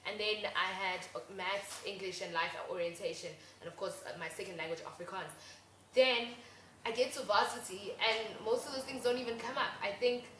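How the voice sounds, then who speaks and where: A woman's voice: mid-range voice, normal speed. Chatty South African young woman co-host. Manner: lively, chatty, agreeable. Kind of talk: casual chat. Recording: echoey room with faint noise.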